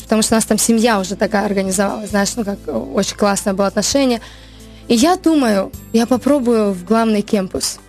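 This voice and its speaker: A woman from Ukraine, a worship singer, telling her story in a casual interview, earnest and animated with many restarts. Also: a little high.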